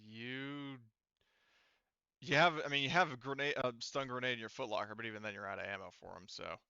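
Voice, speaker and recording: A man casually running a tabletop roleplaying game, explaining in a halting, self-correcting way. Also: medium pitch, creaky, pushed and bright, clean tone, no room sound, dull audio. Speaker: game master.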